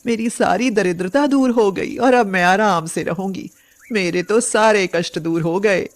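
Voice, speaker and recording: A woman voicing a poor, tender-hearted old village woman in a storybook tale, speaking gently and devoutly. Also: mid-range voice, a little slow, natural voice. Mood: grateful, devout. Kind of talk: acted storybook dialogue.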